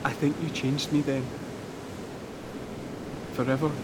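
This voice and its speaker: Audio drama role: remorseful man played by a male actor, mid-pitched, halting and confessional, naturalistic delivery, heavy wave-like rumble behind. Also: level tone, natural voice. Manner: halting, confessional, swearing, repeating himself. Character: remorseful, earnest man pleading with estranged partner. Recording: loud rushing surf-like rumble noise.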